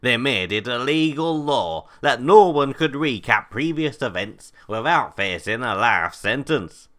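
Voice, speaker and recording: A man's voice: mid-range voice, bright, forceful tone. A British man playing a grumpy, long-winded older prisoner in a scripted audio comedy. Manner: blunt, exasperated, long-winded. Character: grumpy, rambling older male prisoner plotting a heist.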